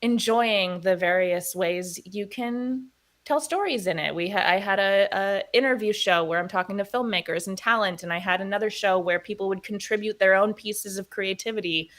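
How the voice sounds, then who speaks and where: A woman's voice: medium pitch, normal speed, fairly bright tone. A woman in her thirties chatting in an interview as a guest, talking at length and laughing often. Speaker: guest, actor and producer. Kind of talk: casual interview chat.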